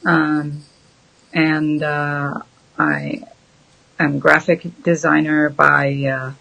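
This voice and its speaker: A woman, a guest answering questions thoughtfully, with many pauses and ums. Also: low voice, lively intonation, creaky, bright, forceful tone, rough recording. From Syria. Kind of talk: casual interview chat.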